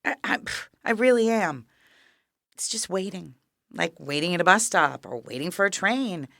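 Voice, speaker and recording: An actress in an audio play playing a dying younger sister, weary, wry and resigned, with a frail, halting, breathy delivery that drops to whispers. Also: female voice, fairly low pitch, lively intonation, a bit bright, no echo, clean studio sound. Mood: wry, tired. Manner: frail, halting, wryly understated. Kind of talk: intimate audio play acting.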